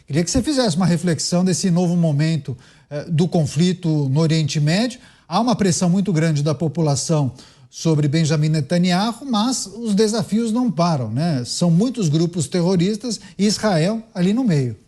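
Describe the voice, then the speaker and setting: Mellow-voiced Brazilian male news host. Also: high-pitched, expressive, normal speed. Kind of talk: moderating a news debate.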